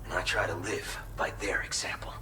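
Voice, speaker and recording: Proud mountain porter, folksy, conversational, earnest, lowish crisp-edged voice, video game cutscene. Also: male voice, steady tone, a little fast, pushed and bright, whispered.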